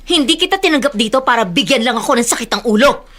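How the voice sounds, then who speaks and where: A high-pitched, sharp Filipino woman boss in a radio drama. She is stern, imperious and short-tempered, and her voice swings widely. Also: animated, fast. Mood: angry, scolding. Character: short-tempered, bossy woman employer scolding her gardener.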